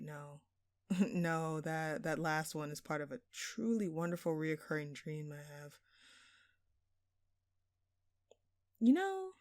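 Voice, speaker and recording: Woman acting as a slow, smug, flirtatious thief. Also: lowish voice, darkish tone, no echo, studio quality. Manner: teasing, theatrical, playfully sarcastic. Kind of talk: intimate scripted audio roleplay.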